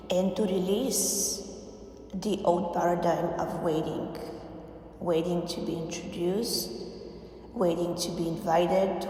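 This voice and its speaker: Woman doing guided meditation, lowish voice, lots of pauses, super echoey with long reverb. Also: mellow. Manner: deliberate phrasing with frequent pauses. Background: faint background music.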